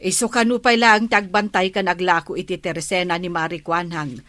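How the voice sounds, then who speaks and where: A woman acting a sharp, scolding wife. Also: lowish voice, fairly even intonation, brisk, dry recording, lightly acted. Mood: teasing. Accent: Ilocano. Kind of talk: Ilocano radio drama acting.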